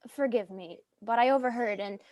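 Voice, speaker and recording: A woman's voice: a little high, moderate pace, a little mellow, no echo, close to an everyday voice. A woman playing a grieving, defiant widow bent on revenge in a dramatic script reading. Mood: earnest.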